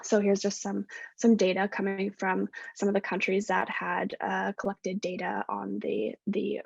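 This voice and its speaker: A woman with a Canadian accent presents over a video call. Her voice is husky, with a gravelly creak. The audio is dry and dull. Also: medium pitch, monotone, a little slow, no room sound. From west coast of Canada. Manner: friendly, many fillers and self-corrections. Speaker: guest speaker, postdoctoral researcher. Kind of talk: online presentation talk.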